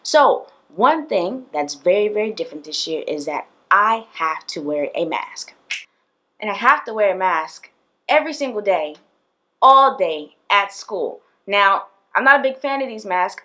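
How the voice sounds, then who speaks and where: A woman's voice: medium pitch, bright, forceful tone. A young woman, a PE teacher, giving casual tips straight to camera in a small room, chatty and direct with lots of fillers. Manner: chatty and direct, addresses viewers, frequent fillers. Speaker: PE teacher and video host. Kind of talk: casual talking-head tips video. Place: indoors, small room with plain wall.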